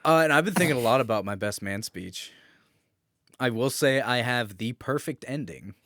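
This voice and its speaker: A male podcast co-host chats casually with a friend. His mid-pitched voice sits in a dry, close recording, and his delivery is animated, teasing and loose with swearing. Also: moderate pace, no room sound. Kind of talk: casual banter between friends.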